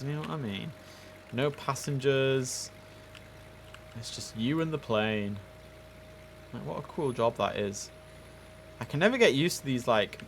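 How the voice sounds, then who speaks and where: A man's voice: mid-range voice, a bit bright. A British man giving casual, chatty commentary as host of a game livestream, speaking informally and directly to his viewers. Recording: clear background noise and ambience.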